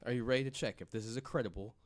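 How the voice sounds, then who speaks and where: A man's voice: mid-range voice, racing. A man talking casually and without a script as a panelist on a podcast. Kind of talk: casual unscripted discussion.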